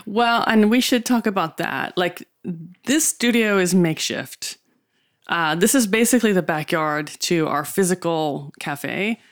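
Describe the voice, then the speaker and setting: A woman's voice: on the low side, lively intonation, a little slow. A woman with an American accent co-hosting a podcast in casual, unscripted chat. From United States. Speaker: podcast co-host. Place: small makeshift studio behind a cafe.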